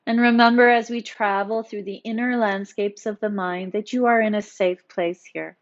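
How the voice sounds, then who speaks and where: A woman yoga instructor narrating a guided meditation in a soothing, gently encouraging, instructional manner. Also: medium pitch, steady tone, normal speed, highs cut off.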